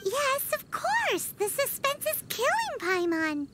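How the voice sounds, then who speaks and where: Casting: tiny girl fairy sidekick, squeaky, extremely high, wildly swooping, impatient, cartoonish game voice. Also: slow pace, background noise, full character voice. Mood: curious, eager. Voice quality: squeaky, childlike. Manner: excitable, refers to self by name. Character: tiny childlike female fairy companion, excitable and impatient. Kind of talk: cartoonish video game character acting. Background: music.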